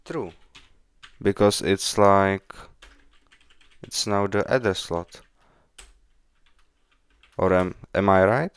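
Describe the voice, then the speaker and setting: A man from the Czech Republic with a Czech accent, chatting casually on a live coding stream in halting, hesitant non-fluent English. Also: low voice, smooth, dry recording. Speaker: game developer live streamer. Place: indoors at a computer, keyboard clicks behind.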